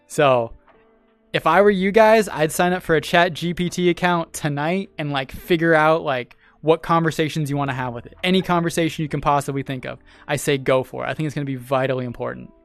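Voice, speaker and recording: A talkative male streamer with a fairly high voice, recorded dry and close to the mic. He chats loosely with his viewers and laughs often, with a smooth salesman-style delivery. Faint music plays underneath. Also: brisk, no echo. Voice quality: voice people call made for radio and sales. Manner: chatty, laughs often, repeats himself. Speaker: streamer and podcast host. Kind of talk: casual livestream chat with viewers.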